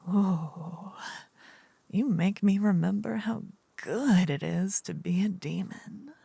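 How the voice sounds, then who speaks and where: A woman's voice: medium pitch, warm tone, no echo, heavily acted. A woman voicing a seductive, possessive demon queen in an intimate fantasy ASMR roleplay, often whispering, with teasing, smug menace. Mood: purring, gloating. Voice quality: breathy, husky, often whispering. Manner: slow, teasing, doting menace. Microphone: close, dry microphone.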